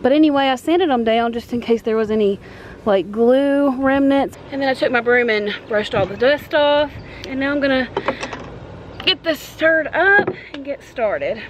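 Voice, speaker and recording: A woman's voice: high-pitched, normal speed. Casual woman vlogger with noisy outdoor background. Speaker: home makeover vlogger. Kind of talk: casual chat to camera. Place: outdoors on a porch and wooden ramp. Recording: clear background noise, outdoor ambience.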